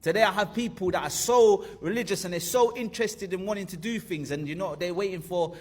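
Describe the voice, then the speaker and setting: A man's voice: sits high, a little fast, breathy edge. A man in his thirties animatedly telling a personal story, acting out the voices of friends and his teacher.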